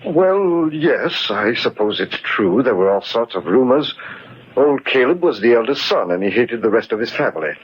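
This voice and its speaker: A man playing an obliging, gossipy park superintendent in a 1940s radio mystery, with a husky voice and a folksy, deferential manner. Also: medium pitch, big pitch swings, normal speed. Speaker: radio actor. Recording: muffled 1940s radio recording.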